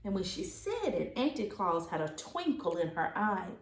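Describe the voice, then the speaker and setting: A woman teacher reading a children's storybook aloud, with expressive, deliberate phrasing in an echoey room. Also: medium pitch.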